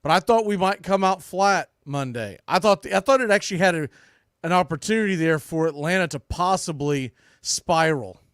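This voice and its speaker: A man hosting a sports podcast, giving opinionated baseball commentary with emphatic, drawn-out stresses on key words. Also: sits high, animated, a bit bright, clean tone, dry recording, studio quality.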